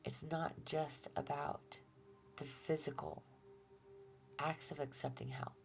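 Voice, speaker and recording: A woman giving a gentle tarot reading, soft-spoken and reassuring, who often drops to a near-whisper and talks through a stuffy nose. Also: deep voice, steady tone, slow, gravelly, slight background noise. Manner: gentle and reassuring, with fillers and restarts. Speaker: tarot reader. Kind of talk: relaxed tarot reading to viewers.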